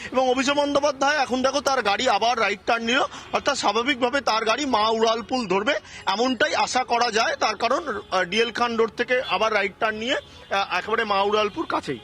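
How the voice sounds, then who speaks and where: Male live reporter, West Bengal accent, strikingly high pitch, sharp tone, repetitive unscripted running account. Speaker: field news reporter.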